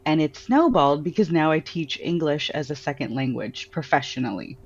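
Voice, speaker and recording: A woman with an American accent, an author answering interview questions in a lively storytelling way and laughing often. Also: low-pitched, moderate pace, slightly rough, dull audio. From Trinidad. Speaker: author and guest.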